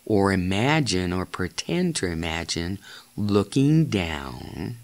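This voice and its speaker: A man leading a guided meditation in deliberate, halting phrases, giving gentle step-by-step instructions as a narrator of guided imagery. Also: low voice, very animated.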